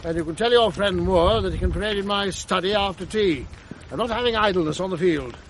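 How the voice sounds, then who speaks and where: An actor playing a middle-aged English schoolmaster, blustering, clipped and teasing, in a radio drama. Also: male voice, high voice, fairly quick, a little hiss or hum, low-quality recording. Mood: brisk, kindly. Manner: clipped, bantering, mock-stern, old-fashioned.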